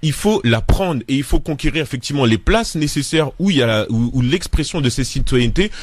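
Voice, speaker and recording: A man's voice: medium pitch, expressive, a little fast, no room sound. A man from France, a guest in a studio debate, making his point in long winding sentences with restarts.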